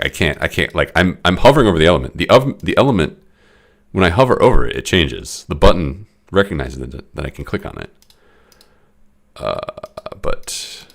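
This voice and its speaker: A young man with a very low voice, audibly congested and stuffy. He rambles through a late-night coding stream in casual, self-deprecating talk full of restarts. He speaks into a desk mic at home. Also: creaky. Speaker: game developer streaming his work. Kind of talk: casual live-stream commentary.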